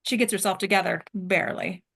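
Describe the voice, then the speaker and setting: A woman reads stage directions from a screenplay. Her voice sits at an average female pitch. The delivery is scripted narration for an actors' table read. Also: fairly even intonation, brisk, vocal fry, fairly bright tone.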